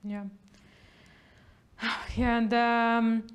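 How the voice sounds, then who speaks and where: Smooth-voiced young woman interviewer. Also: a little high, level tone, extremely slow. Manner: chatty, many likes and fillers, trailing off softly. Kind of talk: festival interview. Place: small indoor studio. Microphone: lapel mic.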